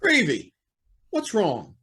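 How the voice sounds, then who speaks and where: A man's voice: sits high, huge pitch swings, slow pace, sharp tone, breathy edge, full character voice. A man playing a stern, pompous older judge in an old-time radio drama, speaking formally and guardedly.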